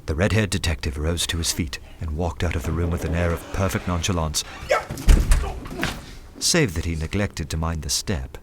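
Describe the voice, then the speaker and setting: An actor playing an undercover detective who narrates his own adventure in a literary, measured radio drama style. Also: low-pitched, steady tone, background noise, lightly acted. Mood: wry.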